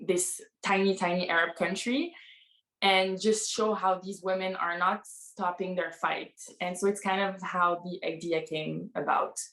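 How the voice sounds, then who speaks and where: A woman's voice: mid-range voice, roomy sound, low-quality recording. A woman filmmaker from Tunisia, answering earnestly on a panel and often correcting herself mid-sentence. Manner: earnest, self-correcting, many "like" fillers.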